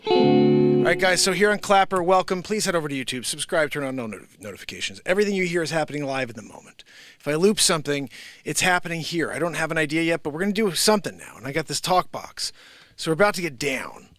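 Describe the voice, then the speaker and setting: A man chatting casually and excitedly as he hosts a live music stream, rambling in slangy speech. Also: a little high, quick pace, dry recording, clean studio sound. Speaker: livestreaming musician, host. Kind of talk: casual livestream chat between guitar playing.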